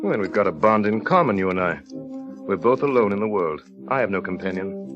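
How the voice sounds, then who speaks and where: Casting: actor for imperious middle-aged widower, very animated delivery, 1940s radio acting, dull old recording. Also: male voice, fairly low pitch, fairly quick, lightly acted. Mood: wry. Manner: abrupt, imperious. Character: brusque, brooding, commanding wealthy widower. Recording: dull vintage radio recording, music behind.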